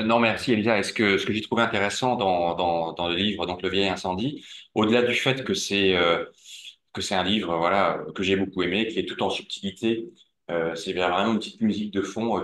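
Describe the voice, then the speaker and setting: Deep man host, hesitant and repetitive, echoey room. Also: a bit bright. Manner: hesitant, repeats words. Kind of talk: interview moderation.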